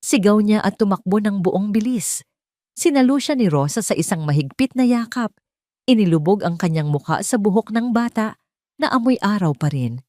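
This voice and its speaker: A woman with a Filipino accent reading a scripted dramatic story in a measured, solemn manner. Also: medium pitch, lively intonation, warm tone, no room sound, studio quality. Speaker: story narrator.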